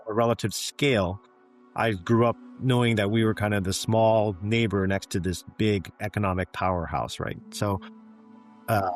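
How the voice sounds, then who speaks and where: A middle-aged man from Canada recounts his past at a steady, thoughtful pace, often pausing on "um" and "you know." His voice sits low. Soft music plays faintly behind him. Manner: reflective, measured, frequent fillers like um and you know. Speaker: guest, physician executive. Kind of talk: interview storytelling.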